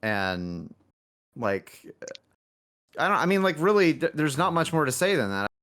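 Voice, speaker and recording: A man speaking as a game developer guest in a casual interview, halting and self-deprecating. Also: a little high, big pitch swings, a bit bright.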